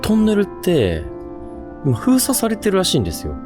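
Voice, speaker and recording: A man's voice: sits high, huge pitch swings, a little fast, music in the background. A man hosting a ghost-spot exploration, explaining the location outdoors and chatting casually with a companion. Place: outdoors on location in a seaside park at night.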